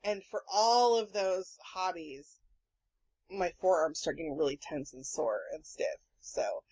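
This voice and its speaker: A woman hosting a podcast in casual chat, telling rambling stories in an animated, sweary way. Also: lowish voice, a little slow, breathy edge, rough recording. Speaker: podcast host.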